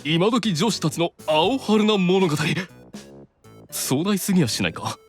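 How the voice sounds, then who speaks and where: An actor playing a stern, exasperated but doting older brother, commanding and easily flustered, in an anime audio drama. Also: male voice, sits high, lively intonation, unhurried, soft background music. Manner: commanding, scolding, flustered when softened.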